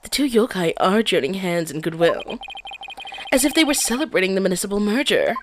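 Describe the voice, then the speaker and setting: A woman's voice: mid-range voice, animated, brisk, background noise, close to an everyday voice. A woman voicing a vain, pompous man on the witness stand, drawling haughtily. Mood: haughty.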